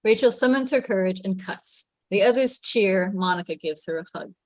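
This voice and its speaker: A woman's voice: medium pitch, expressive, normal speed, dry recording. A woman reading out stage directions as the narrator of a sitcom table read. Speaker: script narrator.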